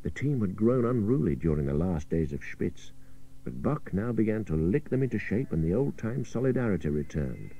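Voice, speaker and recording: A man's voice: on the low side, big pitch swings, normal speed, gravelly, dark tone. A man reading an adventure story as a dramatic narrator, with a suspenseful, theatrical delivery. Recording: dull old radio cassette.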